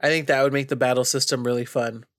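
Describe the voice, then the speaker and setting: A man's voice: mid-range voice, steady tone, fast, fairly bright tone, dry recording, studio quality. A man co-hosting a casual podcast, with a nasal, stuffed-up voice and an upbeat, riffing manner. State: stuffy nose. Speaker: podcast co-host.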